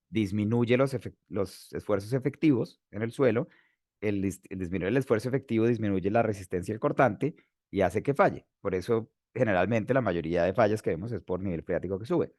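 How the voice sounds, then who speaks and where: Low-voiced Colombian man giving a webinar. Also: a little mellow, no echo, studio quality. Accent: Bogotá Colombian Spanish. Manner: hesitant, many fillers and restarts. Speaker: webinar speaker, geotechnical engineer. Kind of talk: webinar lecture.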